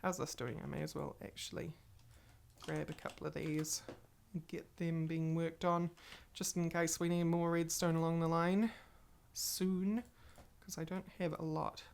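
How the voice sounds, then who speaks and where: A woman's voice: deep voice, flat delivery, a little slow, vocal fry, a little mellow, a little hiss or hum. A woman hosting a casual game playthrough, chatting and rambling to viewers while she plays, a little stuffy from a cold. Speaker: gaming channel host. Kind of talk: casual Let's Play commentary.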